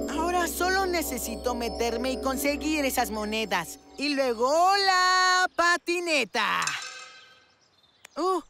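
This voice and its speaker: A woman's voice: high-pitched, exaggerated voice. A woman voicing an impulsive, mischievous young boy in a Latin American Spanish cartoon dub, whiny and full of exclamations. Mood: scheming, straining. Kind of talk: animated children's cartoon dub. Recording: loud music behind the voice.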